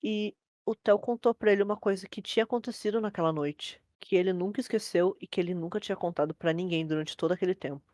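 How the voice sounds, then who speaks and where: Brisk Brazilian woman narrator, dry close sound. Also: fairly low pitch, a little mellow, no echo. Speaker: host and narrator. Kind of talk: creepypasta storytelling narration.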